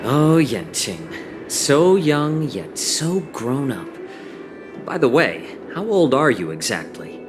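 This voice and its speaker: A man's voice: on the high side, very animated, takes it slowly, music in the background. A man voicing a suave, teasing healer in a video game cutscene, with a leisurely manner. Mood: amused.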